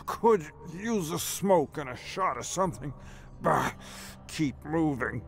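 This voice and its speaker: Male narrator as seedy killer clown, raised pitch, slow, grumbling, impatient, dark storybook villain. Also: animated, gravelly, soft background music, full character voice. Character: gruff, surly, seedy killer clown, big man.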